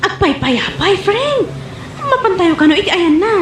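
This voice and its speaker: A woman's voice: very high voice, very animated, normal speed, noisy background. A woman with a northern Philippine accent playing an eager, persuasive young friend in a comedy radio drama. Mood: coaxing. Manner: persuasive, chummy. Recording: roomy echo.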